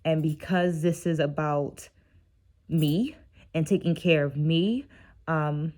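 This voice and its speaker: Middle-aged woman, slightly low conversational voice. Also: takes it slowly, a little mellow. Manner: conversational teacherly, often checks in with "right?". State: tired. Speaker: dance educator and video host. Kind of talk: casual reflective book-discussion vlog.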